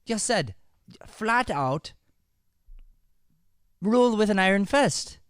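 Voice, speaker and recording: A man acts out a flustered male schoolteacher with an Irish accent, scolding and self-justifying in comic radio drama. His voice sits fairly high and sounds dry and close. He repeats himself in exasperation. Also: animated, takes it slowly, smooth, dry recording. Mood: defensive. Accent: Irish, put on. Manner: stammering, repetitive, exasperated. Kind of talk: improvised radio-play comedy.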